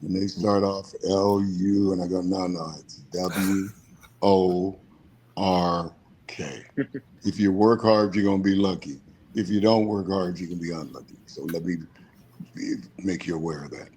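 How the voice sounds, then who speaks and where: Older male co-host, 70s, very low husky voice, plain-spoken, folksy storyteller. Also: fairly even intonation, unhurried, dark tone, rough recording. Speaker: podcast co-host. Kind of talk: casual anecdotal advice.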